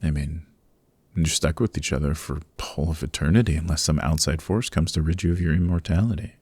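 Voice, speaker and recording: A very low, husky voice belongs to a proud, sociable old vampire gentleman, acted by a man. He chats with genteel, old-fashioned courtesy in an ASMR roleplay. The sound is close and dry, with a gravelly edge. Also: a little fast, no room sound. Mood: amused, chatty. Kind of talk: ASMR roleplay, interview monologue. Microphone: close microphone, dry.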